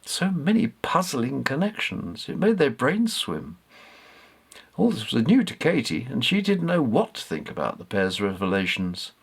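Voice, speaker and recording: A man reading a chapter of his own story as expressive, dramatic narration. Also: mid-range voice, a little slow, gravelly, breathy edge.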